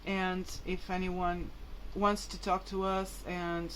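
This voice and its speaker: A woman's voice: fairly low pitch, little pitch movement, slow pace, fairly bright tone, slight background noise, rough recording. A woman whose mother tongue is Portuguese, talking casually and hesitantly to viewers in a rambling, informal vlog. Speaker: vlogger.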